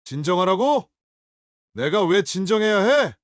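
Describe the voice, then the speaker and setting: An actor playing a hostile, hungover young adult son in an audio drama, sneering and confrontational. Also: male voice, high voice, sing-song, a little slow, dry recording, clean studio sound. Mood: raging.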